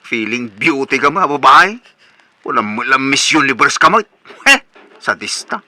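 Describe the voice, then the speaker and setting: A man's voice: mid-range voice, very animated, a little slow, sharp tone, dry recording, exaggerated voice. A Filipino man playing an irritable young bachelor son in a comedic radio drama, curt and scolding. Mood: exasperated.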